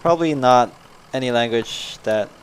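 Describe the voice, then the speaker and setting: A man's voice: mid-range voice, moderate pace, a little hiss or hum, rough recording. A man streaming a story game, chatting casually, laughing at his own jokes and reading game text aloud.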